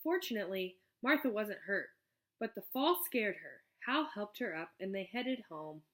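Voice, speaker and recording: A woman narrating a children's storybook aloud in an expressive, scripted read-aloud style. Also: medium pitch, normal speed. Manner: expressive storybook reading with character dialogue.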